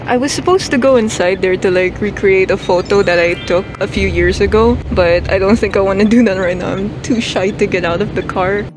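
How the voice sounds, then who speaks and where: A woman's voice: mid-range voice, a little fast, few pauses, over music, poor audio. A young woman with a Filipino accent chatting casually in a vlog from inside a parked car, rambling and trailing off with fillers. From Philippines. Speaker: vlogger. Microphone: camera mounted low behind the steering wheel.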